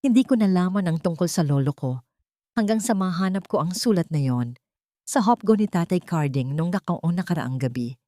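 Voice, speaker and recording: A woman's voice: lowish voice, lively intonation, moderate pace, mellow, no echo, clean studio sound, lightly acted. A woman with a Filipino accent voicing a weary, remorseful man who pleads his love earnestly in an audio story. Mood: pleading, regretful. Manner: pleading, switches between Tagalog and English.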